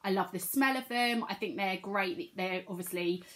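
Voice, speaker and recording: Chatty woman, slightly croaky with a cold, rambles casually to camera. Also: mid-range voice, normal speed, a bit bright. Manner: chatty, many ums, rambling. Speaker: vlogger.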